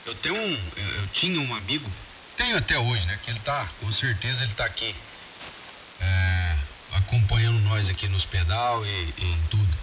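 A man's voice: on the low side, animated, normal speed. A Brazilian man in a casual, colloquial radio chat with friends, heard through a shortwave ham radio transmission. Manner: colloquial, addresses friends by name. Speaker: amateur radio operator in a group contact. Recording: muffled, hissy, very noisy shortwave radio.

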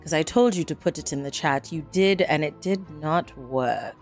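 A woman livestreaming as a theatrical, witchy horror hostess, with dramatic, drawn-out, formal phrasing and a coy temper. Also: deep voice, darkish tone. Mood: measured, welcoming. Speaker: game streamer. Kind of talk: livestream chat in a put-on horror persona. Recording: music behind the voice.

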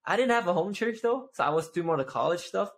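Animated young man on a video call. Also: high-pitched, fast. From Malaysia. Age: 20s. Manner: animated, gestures with both hands, many "right?" tags. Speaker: guest. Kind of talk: casual interview chat. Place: home office with shelves.

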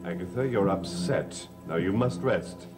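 Brooding gentleman of the manor: low voice, swooping intonation, formal, soap drama. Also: lively intonation, measured pace, over music. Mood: stern. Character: grave, commanding gentleman master of a gothic hall. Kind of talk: 1960s gothic soap opera acting.

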